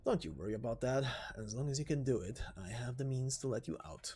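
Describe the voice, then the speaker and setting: A man voicing a smooth, scheming male benefactor who makes shady offers politely and persuasively in a game story. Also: medium pitch, expressive, quick pace, mellow, voice pushed down, lightly acted. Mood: calm, persuasive.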